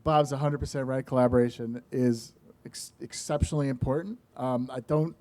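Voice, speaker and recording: A man's voice: mid-range voice, steady tone, warm tone. Dry-humored man on a panel. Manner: dry humor, measured, with fillers. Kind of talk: panel discussion. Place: conference panel.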